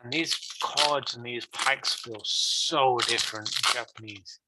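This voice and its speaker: A man with an accent opening trading card packs on a live stream, chatting casually and reacting with quick excitement that often trails off quietly. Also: medium pitch, heavy background noise. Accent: non-standard English accent.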